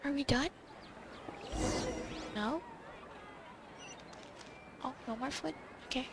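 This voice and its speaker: A woman's voice: high voice, takes it slowly, gravelly, heavy background noise. A woman giving casual, offhand commentary as she plays a game, in short asides. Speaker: gamer recording playthrough. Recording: loud game music behind the voice.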